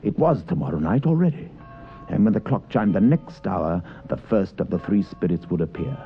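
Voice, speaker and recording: Male narrator, low pitch, dramatic storytelling, vintage radio style, dull sound. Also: big pitch swings, normal speed, vocal fry. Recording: muffled vintage radio broadcast with music.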